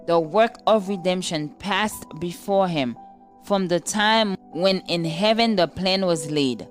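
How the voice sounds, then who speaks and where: A woman with a fairly low voice reads a scripted devotional at a very slow, measured pace. Faint music plays behind her. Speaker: devotional reader.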